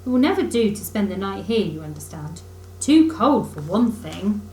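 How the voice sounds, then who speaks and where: A woman voicing a good-natured, capable male river rat in a children's storybook, with a brisk, chummy, reassuring manner. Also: medium pitch, very animated, darkish tone, roomy sound, slight background noise, lightly acted, rough recording.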